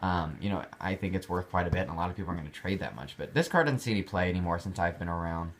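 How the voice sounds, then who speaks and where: A man's voice: sits low, lively intonation, racing. A young man chatting casually about his collectibles, rambling with fillers and restarts. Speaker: card collector showing his cards. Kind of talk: casual vlog chat.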